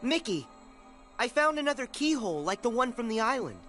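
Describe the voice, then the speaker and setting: A man's voice: very high voice, big pitch swings, a little fast, a bit bright, full character voice. A man playing a plucky, cocky teenage boy hero in a video game, with a brash, casual, eager manner. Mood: determined. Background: game music.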